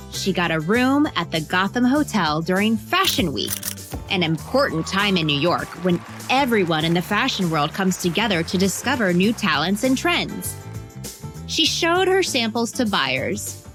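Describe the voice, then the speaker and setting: A woman reads a scripted biographical story for children, phrasing it clearly like a storyteller with conversational asides. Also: mid-range voice, a bit bright. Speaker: narrator.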